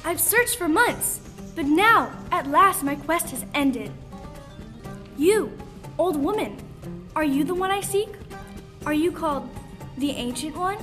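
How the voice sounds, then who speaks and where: A woman's voice: high voice, expressive, slow, pauses often, a bit bright, faint music behind, heavily acted. A teenage girl playing a proud, desperate woman surgeon in a school radio play, with dramatic, declamatory delivery. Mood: desperate, demanding.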